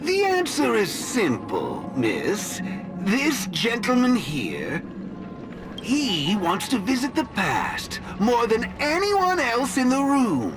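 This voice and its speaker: A woman playing a serene, cryptic cult guide in a game, formal, measured and politely persuasive. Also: on the high side, wildly swooping pitch, heavily acted. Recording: game music underneath.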